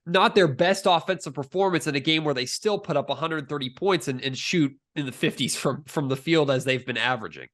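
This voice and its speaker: A man's voice: fairly high pitch, brisk, few pauses, fairly bright tone. A man hosting a sports podcast, talking through analysis and putting questions to a guest in conversation.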